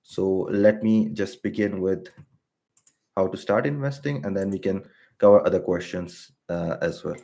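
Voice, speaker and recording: Thirties man from Pakistan, finance YouTuber; low voice, hesitant, filler-heavy but steady explanations. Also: a little slow. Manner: hesitant, many ums and uhs, steady and explanatory. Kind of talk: live-stream explainer with viewer Q&A.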